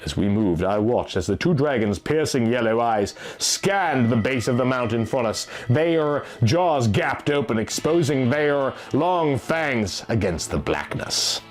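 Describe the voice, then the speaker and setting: Guy narrating a fantasy tale, super animated and theatrical, music underneath. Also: mid-range voice, a little slow, hardly any pauses. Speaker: audiobook reader. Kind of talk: dramatic scripted fantasy narration.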